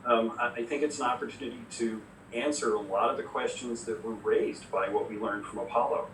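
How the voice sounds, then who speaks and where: A man answers as a science specialist in a live interview, explaining in a measured, halting way. His mid-pitched voice is clearly echoey and a little far from the mic. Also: fairly even intonation, fairly bright tone. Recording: echoey, distant mic, faint noise.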